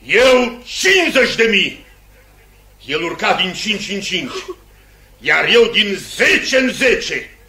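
An actor playing a tipsy self-made merchant in a theatrical Romanian radio play. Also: male voice, sits high, very animated, bright, forceful tone, heavily acted. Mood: exultant, gloating. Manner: theatrical, halting then gloating, laughing. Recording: noisy old 1950s radio recording.

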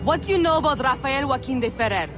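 Poised, resolute young gentlewoman, higher voice. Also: expressive, fast talker, music in the background. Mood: cool, probing. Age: young adult. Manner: composed, formal, firm. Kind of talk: video game cutscene acting.

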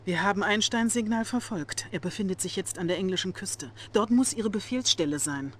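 A woman plays a young Soviet officer in a game cutscene. She is cold and scheming, with a stern, deliberate delivery and the air of a conspirator. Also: mid-range voice, lively intonation, lightly acted. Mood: dutiful, tense. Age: young adult. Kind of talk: video game cutscene acting.

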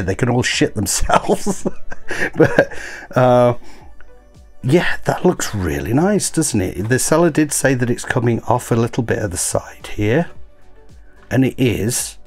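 A man in his thirties with a croaky voice from a cold, chatting casually while unboxing toys at his desk. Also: medium pitch, a little mellow. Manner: rambling, self-correcting. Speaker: toy collector vlogger. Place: home desk. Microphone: overhead camera, hands only.

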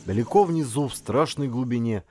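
A man reading a first-person literary story aloud as an audiobook narrator, in an expressive storytelling manner. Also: medium pitch, moderate pace, a little hiss or hum.

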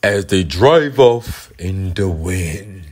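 A man reading scripted radio drama narration in a slow storyteller manner. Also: deep voice, lively intonation, background noise.

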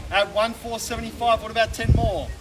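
High-voiced Australian auctioneer, street noise. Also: male voice, fairly even intonation. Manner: brisk persuasive auction patter, repeating the figures. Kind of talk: live auction calling. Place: outdoors on a suburban street in front of a house. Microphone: camera filming from some distance, no mic seen. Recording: distant voice with loud street and traffic noise.

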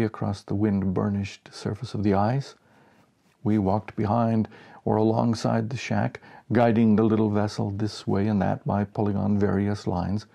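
An American man in his sixties reading a humorous short story aloud in an expressive storyteller's way. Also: on the low side, creaky. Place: small home office, indoors.

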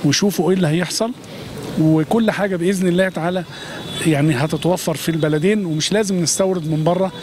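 Man, Egyptian accent, middle-aged, fast talker, emphatic, outdoor street noise. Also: high voice, a little mellow. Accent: Egyptian Arabic. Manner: effusive, emphatic, swears by God often. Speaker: interviewee. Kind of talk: street interview. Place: city street at night, outdoors. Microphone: handheld reporter mic.

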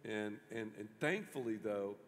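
A middle-aged man, a pastor, interviewing a guest on a church stage in an animated way, with many restarts. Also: fairly low pitch, unhurried. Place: large church stage before an audience. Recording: long hall reverb.

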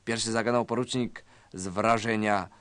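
A man's voice: lowish voice, steady tone, normal speed, a bit bright, clear, resonant tone, no room sound. A man narrating a satirical story in a scripted, dramatic storytelling style.

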